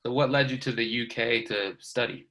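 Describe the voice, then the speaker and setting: A man's voice: mid-range voice, fairly even intonation, moderate pace, sharp tone. A man hosting a casual interview, asking loose, rambling questions. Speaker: interviewer, host.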